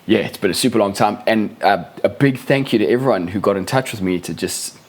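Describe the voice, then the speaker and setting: A man hosting a casual podcast, husky and hoarse from a cold, chatty and laughing as he tells stories with many restarts. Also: lowish voice, fairly quick. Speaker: podcast host.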